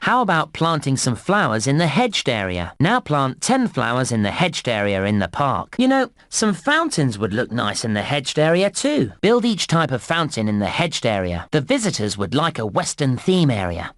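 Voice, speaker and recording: A man voicing a cheery, eager theme park guide in a children's computer game, giving scripted instructions in an animated, encouraging manner. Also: medium pitch, normal speed, hardly any pauses, no echo, studio quality. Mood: cheerful, encouraging. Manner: animated, clearly enunciated instructions, exclamatory.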